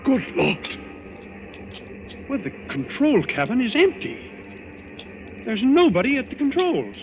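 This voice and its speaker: Young commander hero, lively swooping delivery, clipped authority, old radio thriller with music behind. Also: male voice, very high voice, measured pace, highs cut off, full character voice. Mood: grim, tense. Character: young naval-style commander, cool-headed hero in peril. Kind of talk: 1940s radio drama acting.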